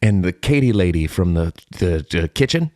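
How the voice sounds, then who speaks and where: Male podcast co-host. Low voice, dry close sound, mock-serious riffing, loose comic banter. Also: animated, normal speed, darkish tone, dry recording. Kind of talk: casual comedic banter.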